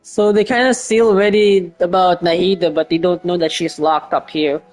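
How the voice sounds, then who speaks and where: A woman's voice: lowish voice, fairly even intonation, normal speed, a little mellow, faint music behind, rough recording. Woman casually commenting over a game. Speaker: game streamer.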